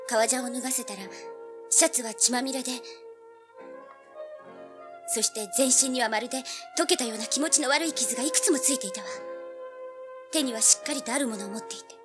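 High-pitched, brazen teenage girl. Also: expressive, a little fast, pauses often, over music. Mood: recounting, uneasy. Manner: pert, blunt, streetwise. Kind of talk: dramatized audiobook acting.

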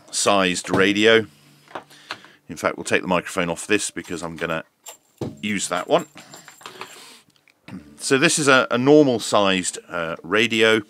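A man's voice: low-pitched, lively intonation, a little slow, pauses often, fairly bright tone, slight background noise. A man casually narrating a hands-on product unboxing and review in an informal, running commentary. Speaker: host of a radio review channel.

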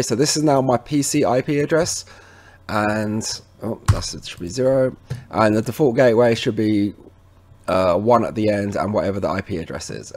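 Low-voiced man giving a casual tech tutorial. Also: a little mellow. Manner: informal, instructional, addresses viewers as guys. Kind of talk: casual screen-recorded software walkthrough. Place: indoors at a computer, voice over screen recording.

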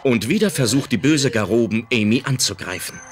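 A man's voice: medium pitch, expressive, brisk. A man narrating a children's audio drama in a scripted, dramatic storytelling style. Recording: loud music under the voice.

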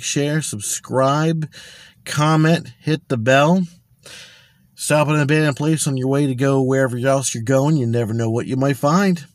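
A man's voice: a little high, dry recording. A man in his forties giving casual, wry walking commentary while he films around an empty building. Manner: chatty, wry asides to the viewer. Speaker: vlogger exploring abandoned places. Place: outdoors around an empty building, later in a car. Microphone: handheld phone camera.

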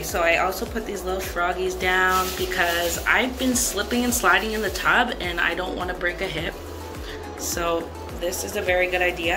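A woman chatting casually as she shows off her freshly cleaned bathroom at home, upbeat and repeating herself. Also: on the low side. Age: adult with a son. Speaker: cleaning vlogger. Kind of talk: casual show-and-tell vlog. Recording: music playing behind her voice.

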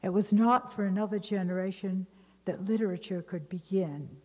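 A middle-aged woman reading poetry from a page at a podium, slow and measured. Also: medium pitch, steady tone, mellow. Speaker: reader at a literary memorial. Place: large ornate library hall. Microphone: podium mic on a stand. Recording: long hall reverb.

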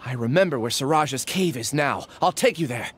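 An actor playing a polite, troubled young scholar in a video game, hesitant and apologetic. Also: male voice, medium pitch, expressive, fairly quick, a bit bright, slightly rough. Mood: candid, thoughtful. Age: young adult.

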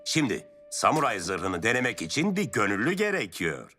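A man's voice: on the low side, very animated, moderate pace, bright, forceful tone, soft background music. A man voicing a wise, stern samurai sword master in a cartoon, formal and instructive. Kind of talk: dubbed cartoon acting.

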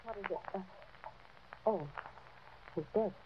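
A tinny, narrow-band old radio recording carries a hospital nurse in a 1940s drama. She is a compassionate woman with a medium-pitched voice. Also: unhurried, husky. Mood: alarmed.

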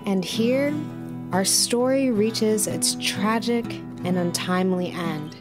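An earnest documentary narrator, played by a woman, with a medium-pitched voice. She speaks slowly and poetically, treating each phrase with reverence, over clearly audible music. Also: close to an everyday voice. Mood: earnest, reverent. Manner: dramatic, poetic, deliberate.

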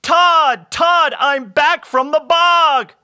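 A man's voice: high voice, big pitch swings, drawn-out words, sharp tone, pitched above the performer's own voice, exaggerated voice. A man voicing an earnest, grumbly log gatherer in a children's story, with emphatic exclamations. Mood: excited.

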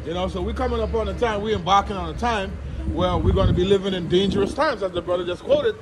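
A man with a high voice talks religion in a colloquial, emphatic way as he walks outdoors. He circles back and repeats phrases for weight. Clear outdoor noise runs behind him. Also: fast talker. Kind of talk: casual religious talk while out walking. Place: outdoors, walking. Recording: noisy outdoor recording, traffic or wind noise.

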